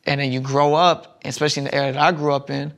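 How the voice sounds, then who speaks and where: A man's voice: a little high, flat delivery, fast talker, creaky, fairly bright tone. A young man guesting on a podcast, telling rambling stories in casual chat with many restarts, on a lapel mic in a studio lounge. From Southern United States. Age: young adult.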